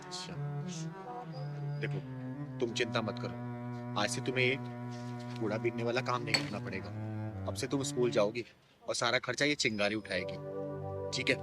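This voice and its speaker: A man's voice: medium pitch, fairly even intonation, moderate pace, long pauses, over loud music, close to an everyday voice. A man playing a caring, take-charge kinnar elder sister in a short drama film, asking direct questions and reassuring. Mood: reassuring, probing.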